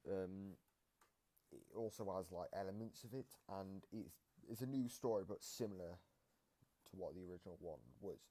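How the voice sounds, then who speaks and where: A man's voice: low-pitched, normal speed, mellow. A man hosting a casual gaming analysis video, explaining things in a rambling way. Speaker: video host. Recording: noisy home microphone recording.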